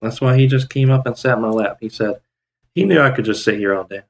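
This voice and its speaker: A man's voice: medium pitch, fast talker, vocal fry. A man in his thirties chatting casually on a day-trading live stream from home, rambling with restarts and fillers. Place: home room, indoors. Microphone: headset.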